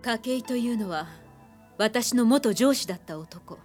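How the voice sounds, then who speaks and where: An actress playing a composed, haunted psychiatrist, speaking in a measured, grave way in a Japanese radio drama. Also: female voice, a little high, lively intonation, a little mellow, soft background music. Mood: somber, reflective. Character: composed, haunted female psychiatrist telling her dark past.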